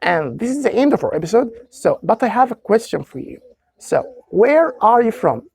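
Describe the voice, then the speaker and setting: A man, an Arabic speaker, teaching a beginner language lesson by deliberately repeating and translating short phrases. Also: high voice, big pitch swings, gravelly, a little mellow, husky. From Egypt. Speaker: language tutor.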